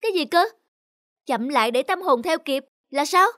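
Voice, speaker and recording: A woman's voice: high voice, animated, normal speed, fairly bright tone. Woman acting a bossy, impatient cartoon husband. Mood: irritated. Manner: brusque, insistent. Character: impatient, schedule-obsessed adult husband, bossy tourist. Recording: music under the voice.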